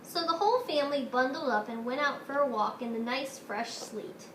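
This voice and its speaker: High, bright-voiced woman reading a storybook. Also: moderate pace. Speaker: storybook reader. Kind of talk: children's read-aloud narration. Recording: roomy echo with faint noise.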